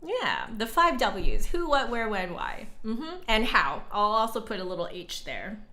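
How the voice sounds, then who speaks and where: A woman's voice: medium pitch, huge pitch swings, unhurried, bright, forceful tone. A woman hosting a livestream, talking casually and reflectively with her chat in an informal, conversational way. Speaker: livestream host and flutist.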